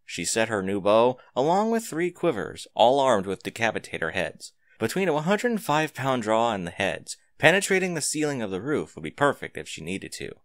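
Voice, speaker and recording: A man's voice: mid-range voice, sing-song, normal speed, few pauses, no echo. A man reading scripted fiction aloud as a dramatic narrator, close to the microphone.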